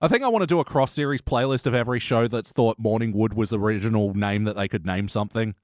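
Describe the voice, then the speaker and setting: A man hosting a podcast in casual review chat, talkative and quick to laugh. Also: on the low side, darkish tone, no room sound, studio quality. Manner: chatty, laughs often while talking. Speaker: podcast host.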